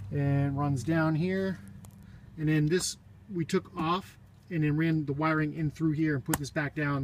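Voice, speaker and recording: A man with a slightly high voice talks through a hands-on walk-around in a casual, unscripted way. He is plainspoken and halting, often pausing mid-phrase. The recording is handheld around a vehicle, with some background noise. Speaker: vlogger showing his own vehicle radio install. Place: in and around his parked vehicle.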